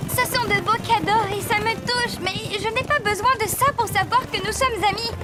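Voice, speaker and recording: Very high-voiced, sincere teenage girl. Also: normal speed, music in the background. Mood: sincere, protesting. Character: modest, warm-hearted teenage girl horse rider. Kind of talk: cartoon dub acting.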